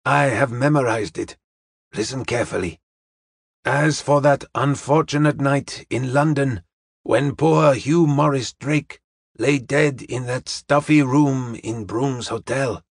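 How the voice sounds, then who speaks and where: A man's voice: mid-range voice, measured pace, husky, dry recording, clean studio sound. A man playing a courteous, wise Chinese detective in an audiobook, speaking formal, stilted English with dropped articles. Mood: courteous, measured.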